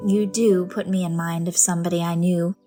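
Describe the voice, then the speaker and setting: A woman's voice: lowish voice, fairly even intonation, brisk, mellow, close to an everyday voice. Woman playing a dreamy, wistful storybook lady, music behind. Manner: dreamy, tender. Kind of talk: dramatized audiobook character reading.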